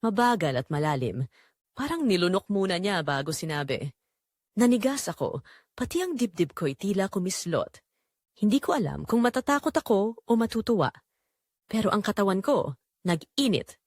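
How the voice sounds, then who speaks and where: A woman's voice: medium pitch, big pitch swings, moderate pace, long pauses, a little mellow, no echo, clean studio sound. A woman reading scripted first-person narration for an audio drama, in the role of a middle-aged mother telling her story.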